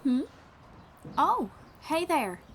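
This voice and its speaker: A friendly young traveling bard played by a woman with a slightly high voice and a folksy drawl. She guides and reassures in an encouraging audio-roleplay style, over faint background noise. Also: very animated, drawn-out words, full character voice. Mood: warm, welcoming. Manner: encouraging, reassuring, gently guiding. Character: friendly young female traveling bard who teaches riding. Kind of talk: second-person audio roleplay acting.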